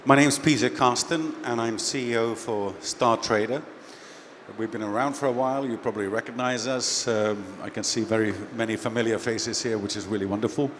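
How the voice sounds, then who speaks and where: A man's voice: mid-range voice, a little fast, a little hiss or hum. A middle-aged man from Finland with a light Finnish accent, speaking casually as a CEO on a conference panel. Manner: relaxed, conversational, with fillers. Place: expo stage.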